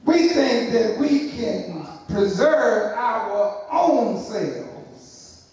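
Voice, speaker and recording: A middle-aged man preaching a sermon as pastor, building to shouted emphasis and asking the congregation for response. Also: high-pitched, animated, drawn-out words, muffled recording. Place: church sanctuary, large, indoors. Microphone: pulpit microphone, far camera. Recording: very echoey church hall from a distance.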